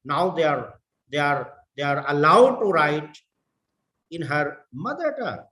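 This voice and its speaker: A middle-aged man from India with an Indian English accent, giving a deliberate lecture to fellow educators, repeating words and mixing in Hindi. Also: on the high side, lively intonation, sharp tone, echoey room. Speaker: professor.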